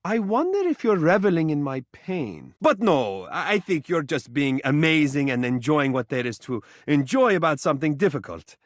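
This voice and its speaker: An actor playing a vain, tender living mirror in a video game, speaking in dramatic, florid, formal and affectionate phrases. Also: male voice, a little high, big pitch swings, normal speed, few pauses, no room sound, studio quality. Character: vain, tender, theatrical living mirror, adult male suitor. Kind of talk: video game character voice acting.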